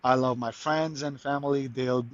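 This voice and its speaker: A man's voice: mid-range voice, steady tone, normal speed, highs cut off. A man from Pakistan, a guest in a casual interview, talking eagerly with many restarts and stumbles. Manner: frequent restarts and stumbles, enthusiastic. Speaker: guest, crypto marketer.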